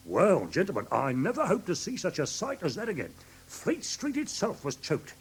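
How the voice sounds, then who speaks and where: An actor playing a flustered, credulous English pawnbroker in a British radio drama, hesitant and rambling as he tells his story, with a husky, rough voice. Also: high-pitched, wildly swooping pitch, moderate pace, heavily acted. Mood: animated recounting. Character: flustered, credulous red-haired male London pawnbroker, excitable storyteller.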